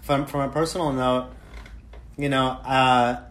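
An American man telling a personal story on a panel in a halting, reflective way. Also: medium pitch, flat delivery, fairly bright tone. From United States.

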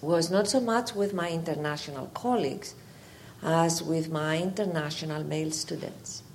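A woman with a Greek accent and a slightly low voice speaks off the cuff as an academic in a public discussion. She phrases things carefully and hesitantly, over some background noise. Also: normal speed. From Greece. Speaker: university faculty member. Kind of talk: spontaneous comment in a public discussion.

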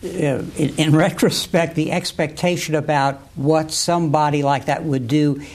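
A man's voice: a little high, fairly even intonation, normal speed, a little mellow. A man hosts a formal academic conversation, speaking in a slightly raised register. He reads a polished introduction, then asks questions hesitantly, repeating words as he goes. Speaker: host, interviewer. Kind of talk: academic interview.

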